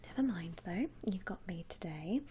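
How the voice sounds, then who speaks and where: A woman playing a friendly, attentive saleswoman in a whispered ASMR roleplay, speaking softly in soothing customer-service patter with endearments. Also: lowish voice, animated, moderate pace, slight background noise, close to an everyday voice. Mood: polite, attentive. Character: friendly attentive saleswoman at a vampire coffin shop. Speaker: ASMR performer.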